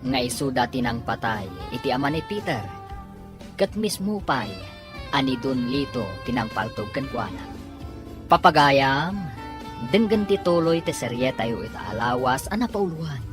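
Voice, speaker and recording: A man's voice: medium pitch, expressive, moderate pace. A man with an Ilocano accent reading scripted, dramatic narration as a radio drama announcer. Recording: radio broadcast with music behind.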